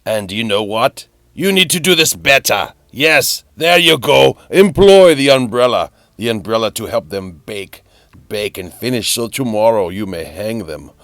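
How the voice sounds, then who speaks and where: Gravelly, low-voiced man narrating theatrically. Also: animated, a little slow, fairly bright tone, no room sound, studio quality. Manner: melodramatic, whimsical, repeats phrases for effect. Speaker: narrator of a DIY build series. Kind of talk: theatrical storybook-like narration of a hobby build video. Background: music.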